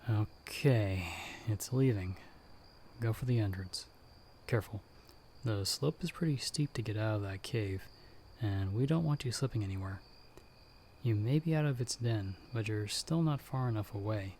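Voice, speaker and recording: A man playing a calm, protective monster hunter in an ASMR audio roleplay, giving hushed, measured, reassuring instructions. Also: lowish voice, a little hiss or hum, close to an everyday voice. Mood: steady, guiding. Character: calm, protective male monster hunter guiding someone by telepathy.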